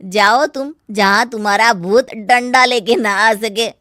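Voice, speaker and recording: Woman voicing a friendly, bright-toned young man. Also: fairly high pitch, a little slow, no room sound, studio quality. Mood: concerned, teasing. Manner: friendly, lightly teasing. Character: easygoing, polite young man, visiting brother-in-law. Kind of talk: Hindi cartoon story dialogue.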